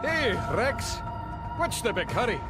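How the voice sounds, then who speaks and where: Obliging boatman for game cutscene: casual, agreeable, very high pitch, music underneath. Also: sing-song, measured pace, exaggerated voice. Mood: obliging, curious. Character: easygoing, obliging boat owner. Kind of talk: video game cutscene acting.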